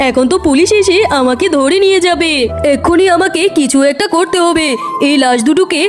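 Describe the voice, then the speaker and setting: A woman's voice: very high-pitched, a little fast, faint music behind. A woman playing a cruel, haughty tigress wife in a cartoon, scolding and threatening in an exclamatory way. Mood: alarmed, callous. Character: cruel, haughty tigress wife guarding her mango tree. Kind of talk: dramatic cartoon voice acting.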